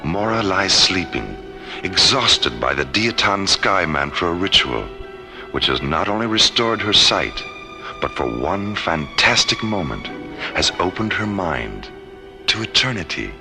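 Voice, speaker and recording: An actor as the grave narrator of a science fiction radio serial, delivering dramatic scripted narration. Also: male voice, low voice, big pitch swings, unhurried, full character voice. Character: grave omniscient male narrator of space adventure serial. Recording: music bed under narration.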